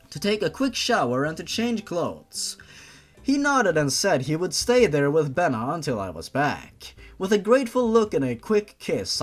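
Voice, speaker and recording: A narrator reading fan fiction dramatically, with a theatrical, sarcastic manner. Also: mid-range voice. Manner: theatrical, sarcastic, sweary outbursts. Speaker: narrator and commentator.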